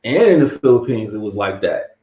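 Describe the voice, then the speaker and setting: Southern US man, relaxed slangy storyteller, roomy muffled call sound. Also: fairly low pitch, fairly quick. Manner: laid-back storyteller, slangy, laughs often. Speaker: interview guest. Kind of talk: casual interview chat. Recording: echoey muffled call audio.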